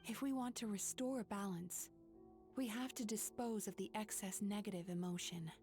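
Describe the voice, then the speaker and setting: A woman voicing a calm, measured female dream-eating spirit who heals in a game. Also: mid-range voice, soft background music, lightly acted.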